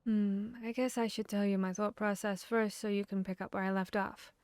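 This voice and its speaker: A woman acting out a studious fantasy vampire hunter in an ASMR roleplay. She has a medium-pitched voice and thinks aloud in an earnest, slightly hesitant, conversational way. The recording is dry, with hardly any room sound. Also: flat delivery, normal speed, a little mellow, clear, resonant tone, no room sound, natural voice. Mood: earnest explaining.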